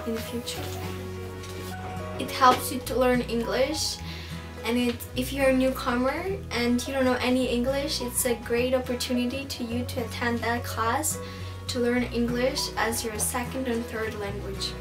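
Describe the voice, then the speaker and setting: A teenage student girl from Ukraine, talking in a relaxed, plain way in an interview. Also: fairly high pitch, moderate pace. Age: teens. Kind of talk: interview testimony. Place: school office, seated. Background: loud background music.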